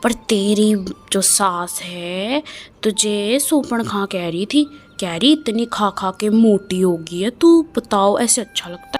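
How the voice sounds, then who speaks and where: A woman's voice: mid-range voice, expressive, takes it slowly, soft background music. A woman doing a sly gossiping neighbor. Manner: sly, sweet-talking. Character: gossiping scheming middle-aged neighbor woman.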